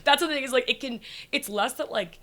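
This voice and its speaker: A woman with a naturally raspy voice chatting casually on a podcast, talking in a rush, full of likes and restarts, often laughing. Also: high voice, brisk, a bit bright. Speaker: comedian guest.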